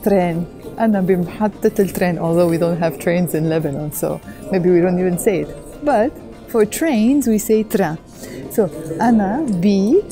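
A woman in her thirties giving a vlog language lesson, talking softly and a little shyly, first in a train station and then on a busy street, into an earphone mic. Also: medium pitch. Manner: soft-spoken and shy, then louder and brighter outdoors. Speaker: language teacher. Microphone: earphone headset mic.

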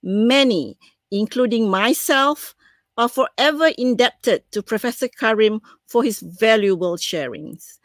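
Female moderator, Malaysian accent, fifties, fairly high pitch, measured scripted delivery, dry close sound. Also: lively intonation, dry recording. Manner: measured, formal. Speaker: session moderator introducing a keynote speaker. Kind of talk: formal scripted introduction, read aloud. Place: indoors on a video call.

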